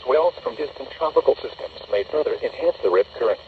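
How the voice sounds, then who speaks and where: A synthetic American man's voice reading a measured, flat weather bulletin through a small radio speaker. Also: medium pitch. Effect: heard through a small radio speaker. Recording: dull, distant small radio speaker, noisy.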